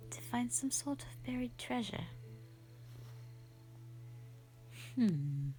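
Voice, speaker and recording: Woman playing a slow-spoken, gentle shy dragon lady. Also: fairly high pitch, very animated, faint music behind, poor audio. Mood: caring, curious. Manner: soft, breathy, hesitant, sweet and earnest. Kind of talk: roleplay audio drama, intimate one-sided dialogue.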